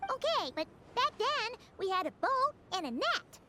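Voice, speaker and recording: A woman's voice: very high-pitched, sing-song, moderate pace, bright, forceful tone, a little hiss or hum, full character voice. A woman voicing a tiny childlike fairy companion in a video game, pert, sceptical and easily indignant. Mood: puzzled, doubtful. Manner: pert, indignant, speaks of self in third person.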